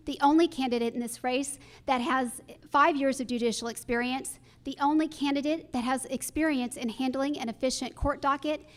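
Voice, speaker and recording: A woman's voice: high-pitched, fairly even intonation, moderate pace, few pauses. A woman judge giving a prepared campaign introduction as a candidate at a forum. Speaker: judicial candidate, associate judge.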